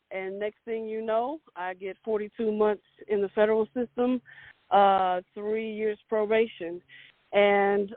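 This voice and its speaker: A woman's voice: mid-range voice, no room sound. A woman in her forties from Romania, telling her story as an interview guest with many hesitant fillers. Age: 40s. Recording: dry, tinny phone line.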